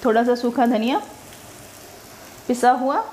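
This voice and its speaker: A woman's voice: fairly high pitch, steady tone, measured pace, darkish tone, slight background noise. A woman narrating her own cooking video step by step, chatty and homely, explaining each step as she cooks in her home kitchen. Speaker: cooking vlogger.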